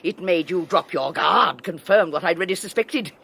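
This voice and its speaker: An actress playing a domineering, cruel wife who mocks with theatrical scorn and cackling laughter in a radio drama. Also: female voice, on the low side, normal speed, gravelly, a bit bright, breathy edge, exaggerated voice. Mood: scornful. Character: domineering wealthy wife, cruel, mocking, triumphant. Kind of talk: 1980s radio drama acting.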